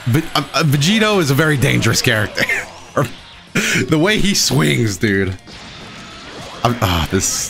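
Animated, higher-pitched man commentating gameplay. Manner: excitable, exclaiming, with playful dramatic flourishes. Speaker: gaming video host and player. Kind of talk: casual live gameplay commentary.

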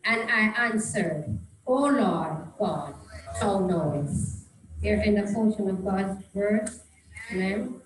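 Woman reading scripture slowly in a church. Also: medium pitch, lively intonation, roomy sound, noisy background. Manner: measured, deliberate reading. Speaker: scripture reader. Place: small church hall, indoors. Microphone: handheld microphone.

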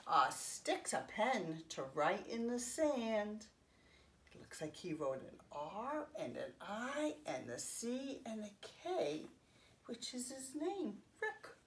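A woman in her fifties reading a picture book aloud to young children, expressively, pausing to explain things simply. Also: fairly high pitch, sing-song. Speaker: children's story time host. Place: home living room, indoors.

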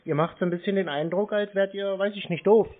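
A man's voice: high voice, quick pace. A man playing dumb on a phone call with scammers, turning mocking and irritated. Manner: mock-naive, then mocking and irritated. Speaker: scam-baiting call recipient. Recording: tinny phone line.

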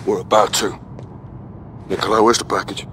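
A man's voice: fairly low pitch, level tone, normal speed, fairly bright tone, slight background noise, lightly acted. A man playing a middle-aged British special forces captain in a video game, terse, commanding and ruthless. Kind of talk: cinematic video game acting.

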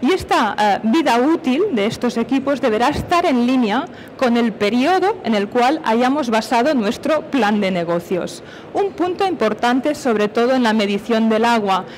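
Higher-pitched woman giving a conference talk. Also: lively intonation, normal speed, a little hiss or hum, low-quality recording. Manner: explanatory, with self-posed questions. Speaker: conference speaker from industry. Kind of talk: technical conference presentation. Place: on stage at a conference, filmed from a distance.

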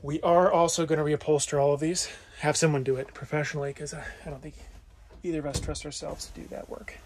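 Casual man with gravelly, fairly high voice. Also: level tone, fast. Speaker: vlogger and owner giving a tour of a vintage motorhome. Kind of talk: casual, off-the-cuff walk-through narration. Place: inside a small vintage motorhome. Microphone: handheld phone or camera, held by the speaker. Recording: noisy handheld recording with clicks.

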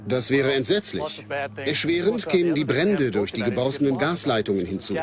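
A man's voice: mid-range voice, animated, moderate pace, music in the background, natural voice. A man giving scripted explanations in a dubbed documentary.

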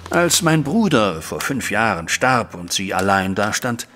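An actor playing a troubled middle-aged banker, a widowed father, recounting events formally and deliberately in a German audio drama. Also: on the low side, sing-song, fairly bright tone, a little hiss or hum. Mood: melancholy. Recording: music bed behind voice.

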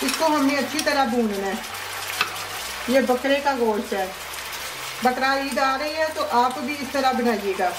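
A woman hosting a home cooking video, giving plain, unhurried step-by-step recipe instructions with short pauses between ingredients. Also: high voice, very noisy. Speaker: cooking show host. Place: home kitchen. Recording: echoey room with background music.

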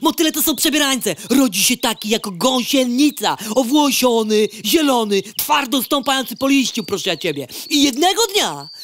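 A man's voice: extremely high pitch, very animated, moderate pace, few pauses, a bit bright, exaggerated voice. A man playing a brash, bigoted male mosquito thug who rants in slang in a comic audio drama. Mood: indignant ranting. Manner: ranting, slangy, with a repeated catchphrase.

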